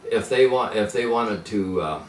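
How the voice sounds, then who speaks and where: An older man who grew up speaking Pennsylvania Dutch, reminiscing slowly with many pauses and fillers. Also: lowish voice, animated, soft background music. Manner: halting, many fillers and restarts. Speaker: interviewee. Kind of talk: reminiscing in conversation. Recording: echoey room, mic at a distance, faint noise.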